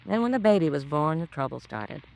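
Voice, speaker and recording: A woman's voice: deep voice, animated, brisk, creaky, a little mellow, poor audio. An actress playing a nosy, gossipy, disapproving landlady in a 1950s radio crime drama, with folksy, chatty colloquial speech.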